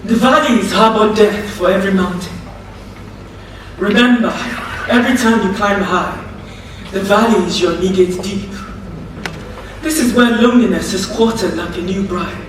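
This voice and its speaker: Distant, echoing stage recording. Actress as proud Nigerian wife in grief, dignified and declamatory. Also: female voice, mid-range voice, normal speed, pauses often, natural voice. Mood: grieving. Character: proud, grieving wife of a jailed politician. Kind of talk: theatrical stage acting. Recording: very echoey stage, far mic, faint noise.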